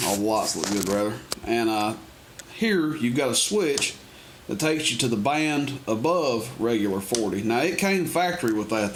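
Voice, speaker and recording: A man giving a casual, folksy product demo in a rambling, unscripted way, like a seller showing off equipment. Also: mid-range voice. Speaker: presenter demonstrating and selling CB radios. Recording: noisy amateur camera recording, clicks.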